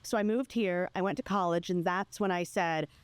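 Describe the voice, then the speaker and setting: A woman's voice: lowish voice, normal speed, clean tone. A woman from Greece speaking as a podcast guest in a casual interview, chatty, emphatic and full of anecdotes. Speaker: podcast guest, PR professional and former TV news anchor.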